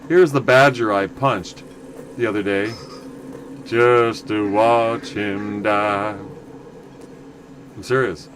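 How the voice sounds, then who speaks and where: Man, low voice, loud, rambling game commentary, self-correcting, noisy background hum. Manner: rambling, self-correcting, chatty asides to viewers. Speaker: livestream game player. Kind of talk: casual gameplay commentary.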